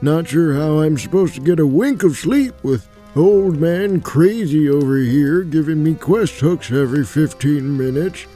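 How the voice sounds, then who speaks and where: A man's voice: a little high, animated, unhurried, hardly any pauses, warm tone, faint music behind. A man playing a wry, streetwise, chatty old dwarf jailbird with a casual, sardonic manner in a fantasy audio drama.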